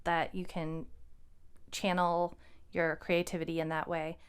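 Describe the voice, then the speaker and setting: A woman's voice: on the low side, level tone, unhurried, clean tone. A woman co-hosting a podcast in a casual, conversational style. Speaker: podcast co-host and fiction writer.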